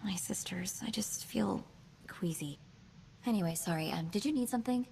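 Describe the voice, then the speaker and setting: A woman voicing a quick-witted, sardonic young female hacker in a space video game, chatty in manner. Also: mid-range voice, gravelly, mellow, a little hiss or hum, lightly acted, low-quality recording. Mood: shaken, subdued.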